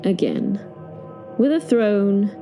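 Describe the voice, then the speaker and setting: A woman's voice: medium pitch, big pitch swings, slow, warm tone, faint music behind. A woman narrating a dramatic story in the first person, as part of an audio drama.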